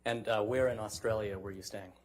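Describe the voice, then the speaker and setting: Male attorney for courtroom questioning: brisk, methodical delivery, lowish pitch. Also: steady tone, background noise. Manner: methodical, probing. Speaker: lawyer questioning a witness.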